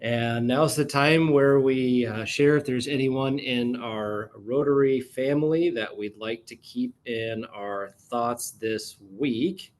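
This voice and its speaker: A man's voice: mid-range voice, slow pace, few pauses. Guy chairing a club meeting over a call, kinda hesitant, lots of uh. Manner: hesitant, many fillers. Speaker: club president. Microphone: video call.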